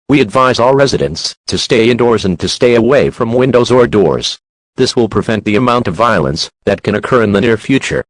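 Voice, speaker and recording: A man playing an emergency alert announcer, reading a formal scripted warning in a stern, measured, official manner. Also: mid-range voice, no room sound, natural voice, poor audio. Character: solemn male emergency alert broadcast announcer.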